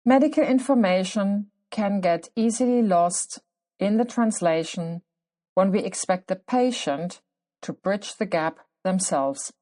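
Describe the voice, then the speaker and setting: A middle-aged woman, a clinician whose first language is German, speaking English with a German accent as she narrates her own podcast in a measured, deliberate way with emphatic pauses. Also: mid-range voice, lively intonation, dark tone. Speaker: podcast host. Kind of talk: scripted solo podcast narration.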